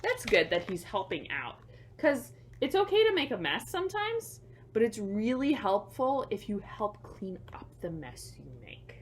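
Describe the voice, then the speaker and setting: Expressive woman reading aloud to children. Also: mid-range voice, measured pace, a little hiss or hum. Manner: expressive, with simple explanations and asides to young listeners. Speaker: children's librarian storyteller. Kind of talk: picture-book read-aloud for children with chatty asides.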